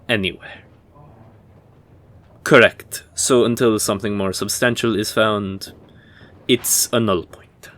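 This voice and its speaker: A man playing a dry, reserved history professor at a fantasy university, lecturing in a measured, formal way for an audio drama. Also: medium pitch, fairly bright tone, natural voice.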